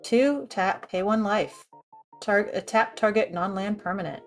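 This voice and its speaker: A woman's voice: mid-range voice, a little slow, faint music behind. A woman co-hosting a stream, reading card rules aloud in a casual, blunt way and swearing offhandedly.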